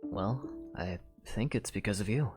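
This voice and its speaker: A man voicing a gentle, soft-spoken young knight. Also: fairly low pitch, unhurried, natural voice. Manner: soft-spoken, sincere, plain phrasing. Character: young male knight, quiet, gentle, earnest. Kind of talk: fan audio drama. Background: music.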